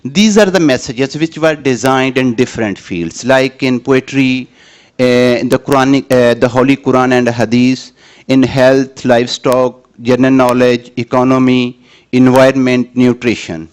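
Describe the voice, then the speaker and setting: A man from Pakistan, giving a conference presentation in a hesitant, halting way with many restarts. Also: mid-range voice, fairly even intonation, smooth.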